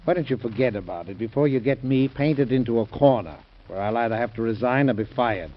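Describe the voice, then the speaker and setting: A man's voice: mid-range voice, brisk, lightly acted. A man playing a dry, sarcastic cabinet member. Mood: wry. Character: weary, wry male cabinet member, middle-aged. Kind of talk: 1940s radio acting. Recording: dull old radio broadcast with faint hiss.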